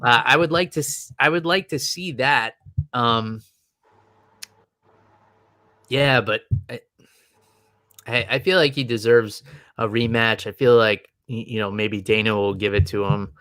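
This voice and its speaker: A man's voice: medium pitch, a little slow, long pauses, bright, forceful tone, no echo. A man co-hosting a casual sports podcast, rambling with lots of fillers and restarts. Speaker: podcast co-host. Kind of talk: casual chat.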